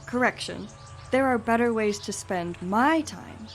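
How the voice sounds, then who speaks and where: A woman's voice: fairly high pitch, expressive, measured pace, faint music behind. A woman playing a self-centred, reluctant adventurer with a pompous, precise manner in a fantasy audio drama. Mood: disdainful.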